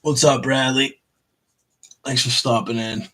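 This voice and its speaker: A man's voice: medium pitch, steady tone, measured pace, noisy background. A man chatting casually in a live stream while sorting coins, rambling with many fillers and restarts. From Boston area. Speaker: coin roll hunter streaming.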